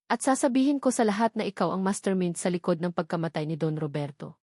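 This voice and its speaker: A woman's voice: lowish voice, expressive, mellow, dry recording, studio quality. A woman playing a smug, scheming male politician who taunts with false sweetness in an audio drama. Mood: smug, threatening. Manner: slow, honeyed taunting.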